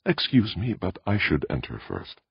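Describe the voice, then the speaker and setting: A man voicing a stiff, solemn old man, a formal and deliberate guide in a science fiction audiobook. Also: sits low, huge pitch swings, vocal fry, breathy edge, no room sound, highs cut off, pitched above the performer's own voice, exaggerated voice. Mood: quiet, formal.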